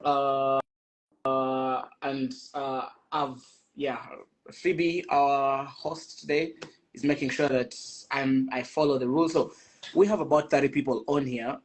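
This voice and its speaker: A man's voice: medium pitch, fairly even intonation, slow pace, poor audio. A young man from Ghana hosting a casual live-stream chat, laughing often and tripping over his words as he talks.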